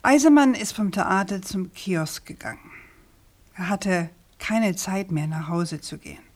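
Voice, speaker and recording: An actress playing a persistent, sceptical journalist in a radio crime drama, speaking in a probing, deliberate and emphatic way. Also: female voice, medium pitch, darkish tone. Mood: questioning, insistent. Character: persistent, sceptical female journalist questioning a prosecutor.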